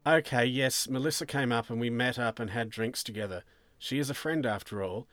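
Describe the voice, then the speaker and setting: A man's voice: medium pitch, fairly quick, a bit bright, no echo, close to an everyday voice. A man playing a nervous, bewildered male suspect in a story, polite but defensive and hesitant. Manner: hesitant, perplexed, protesting.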